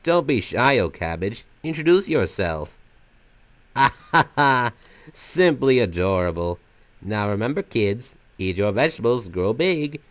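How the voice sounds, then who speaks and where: A man's voice: mid-range voice, sing-song, measured pace, a bit bright, dry recording. A man voicing a flamboyant magical trickster villain from a video game, half silly and half sinister, with florid, mock-polite theatrical delivery. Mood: taunting. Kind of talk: fan voice-over of video game villain lines.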